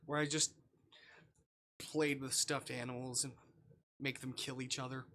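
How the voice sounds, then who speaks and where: A man's voice: medium pitch, little pitch movement, measured pace, fairly bright tone, a little hiss or hum. A man livestreaming a visual novel, reading the text aloud in a casual style with playful, muttered asides. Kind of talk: casual gaming stream, reading game text aloud with offhand commentary.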